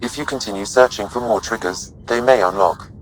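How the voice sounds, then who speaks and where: Low-voiced, formal ship's artificial intelligence played by a man. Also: a little hiss or hum, natural voice, low-quality recording. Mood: calm, reflective. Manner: measured, formal, precise phrasing. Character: calm, courteous male artificial intelligence aboard a spaceship. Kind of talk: video game voice acting.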